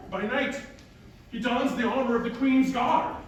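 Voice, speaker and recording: A man's voice: extremely high pitch, heavily acted. An actor playing an eager, earnest male playwright who rambles and gets defensive in theatrical comic dialogue. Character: eager male playwright William pitching his earnest tragic play. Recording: roomy echo, distant mic, faint noise.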